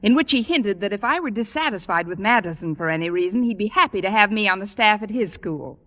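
A woman playing a wisecracking, scheming high school teacher, dry and crisp, in an old-time radio comedy. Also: expressive, fast, fairly bright tone, dull audio, close to an everyday voice. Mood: wry. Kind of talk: 1940s-50s radio comedy acting. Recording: old radio broadcast, hiss, studio audience.

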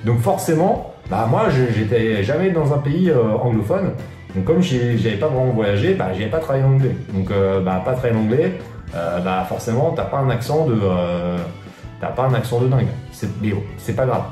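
A man's voice: mid-range voice, animated, moderate pace, mellow. A man talking casually and straight to the viewer, slangy and full of fillers, giving business advice. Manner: slangy, informal, addresses viewer as tu, many fillers. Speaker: online business coach. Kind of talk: casual direct-to-camera advice video. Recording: echoey room with faint guitar music.

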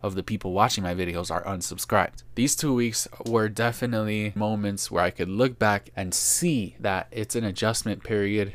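A young man with a fairly low voice talks through his week in a solo podcast. He muses and questions himself as he goes, in a steady delivery others have called monotone. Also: normal speed, few pauses. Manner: reflective, self-questioning, told his voice is monotone. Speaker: podcast host and small YouTuber.

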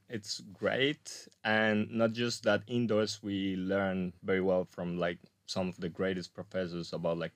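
A man's voice: deep voice, dry recording. A young man from Colombia, a graduate student guest, talking casually in an interview with many fillers and restarts. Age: young adult.

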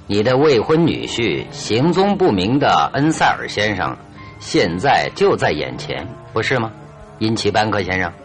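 Confident detective cornering a crook, radio play, big swingy intonation, bit of music behind. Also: male voice, fairly low pitch, very animated. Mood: commanding, explaining. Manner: deliberate, commanding, theatrical. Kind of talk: radio drama acting. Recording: radio drama with music underneath.

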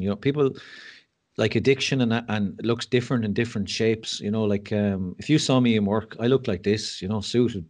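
A man's voice: on the low side, darkish tone. An Irish man speaking as a podcast guest, telling his story candidly with frank, self-deprecating humour and lots of fillers and restarts. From Ireland.

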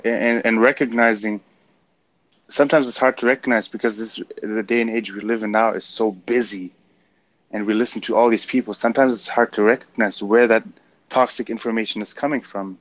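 A man with a German accent talking in a casual motivational chat, passionate and getting loud when excited. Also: on the low side, fairly quick.

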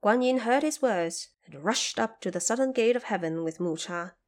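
A woman's voice: medium pitch, animated, moderate pace, a little mellow. A woman reading scripted narration of a classic fantasy tale for an audio drama. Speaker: storyteller.

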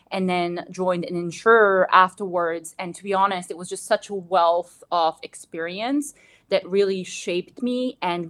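A woman in her thirties, originally from Bosnia, answering interview questions in a measured, reflective way. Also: fairly low pitch. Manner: measured, reflective, frequent "to be honest" and "actually". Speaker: guest.

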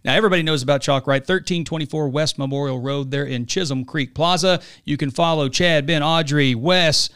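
Male podcast host, 40s, clear slightly high voice, chummy and upbeat. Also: brisk, hardly any pauses, a bit bright. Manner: upbeat, chummy, keeps asking "right?". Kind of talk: casual chat and ad read. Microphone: studio podcast mic.

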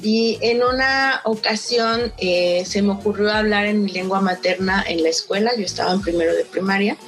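A woman's voice: medium pitch, normal speed, faint music behind. A young Mexican woman with a Mexican Spanish accent, telling her personal story as an interview guest in an earnest, reflective way, with frequent hesitations. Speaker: guest, founder of a social initiative.

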